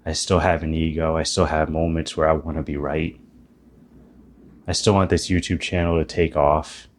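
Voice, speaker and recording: Man, 30s, very deep low voice, YouTuber, reflective spiritual monologue, self-deprecating, thinks aloud. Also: flat delivery, normal speed, slight background noise.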